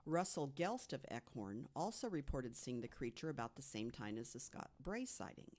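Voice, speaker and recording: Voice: medium pitch, big pitch swings, moderate pace, darkish tone. A podcast panelist reading prepared mystery stories aloud, with conversational asides.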